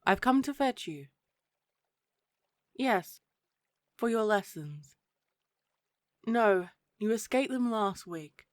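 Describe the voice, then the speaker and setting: A woman's voice: mid-range voice, lively intonation, takes it slowly, long pauses, no room sound, studio quality. A woman playing a prim, stern, dutiful attendant of a princess in a fantasy audio roleplay, speaking in a formal, proper, clipped way. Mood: stern, cool.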